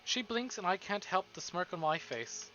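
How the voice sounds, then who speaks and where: A man reading a visual novel aloud on a live stream, with casual, excitable, foul-mouthed reactions. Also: high-pitched, moderate pace, lo-fi sound, slight background noise.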